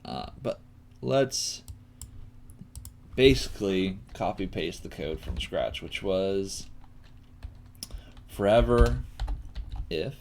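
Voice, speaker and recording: Male tutorial streamer, unhurried and halting, with lively swings in pitch, muttering to himself between explanations while typing. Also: creaky. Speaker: coding teacher and stream host. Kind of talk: live coding tutorial, thinking aloud. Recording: noisy room with keyboard clicks. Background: typing and clicking.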